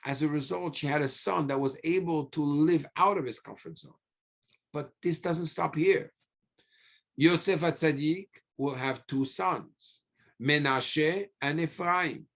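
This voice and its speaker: Male rabbi for religious lecture: unhurried, gravelly edge, emphatic teaching delivery with pauses and rhetorical questions. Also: a little high, fairly bright tone. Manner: emphatic, pausing often, repeats phrases and asks rhetorical questions. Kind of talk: sermon, teaching through scripture stories.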